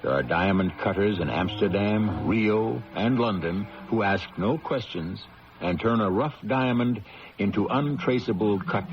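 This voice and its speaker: A man hosting and narrating an old radio drama in a deliberate, theatrical, grandiloquent manner. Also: sits low, a little hiss or hum. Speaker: host and narrator. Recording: dull old radio broadcast recording. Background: prominent music.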